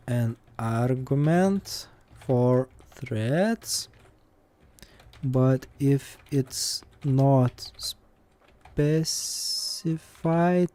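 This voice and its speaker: A man with an Eastern European accent, live-streaming while coding and thinking aloud, with many pauses and fillers. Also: medium pitch, mellow, clear, resonant tone.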